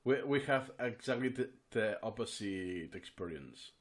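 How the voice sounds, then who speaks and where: A man from Spain with a Spanish accent, hosting an interview and asking halting questions with frequent restarts. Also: fairly low pitch, animated. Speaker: podcast host. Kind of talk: conversational interview.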